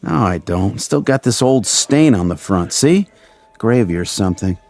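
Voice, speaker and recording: A man's voice: fairly low pitch, expressive, moderate pace, a little mellow, faint music behind. An actor playing a down-on-his-luck American ex-ballplayer, earnest and doubtful, in a hesitant, plain-spoken radio drama style. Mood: self-conscious, grateful. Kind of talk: vintage radio drama acting.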